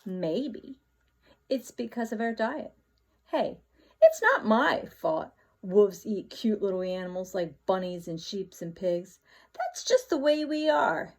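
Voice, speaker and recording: A woman voicing a chatty, self-excusing male wolf from a children's picture book, with exaggerated, drawn-out emphasis. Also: medium pitch, sing-song, a little mellow, heavily acted. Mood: defensive.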